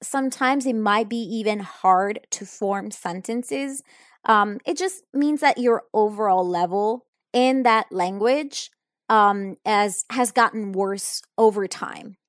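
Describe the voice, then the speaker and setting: A young woman speaks English slowly and deliberately, taking her time over each answer. She sounds thoughtful and a little hesitant, filling gaps with soft ums. Her voice is close and dry, with no room sound around it. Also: mid-range voice, no room sound. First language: Italian. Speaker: English learner answering questions. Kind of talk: unscripted answers in an English lesson.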